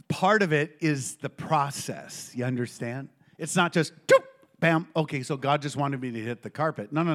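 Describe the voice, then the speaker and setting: A middle-aged man preaching to a congregation in a church, telling a personal story with lively call-and-response. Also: mid-range voice. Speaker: pastor.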